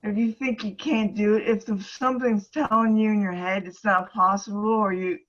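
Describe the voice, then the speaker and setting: A woman facilitator sharing encouragement on a group call, halting and earnest, often restarting her sentences. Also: mid-range voice, steady tone, fairly bright tone. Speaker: ministry facilitator. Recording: slightly dull lo-fi call audio.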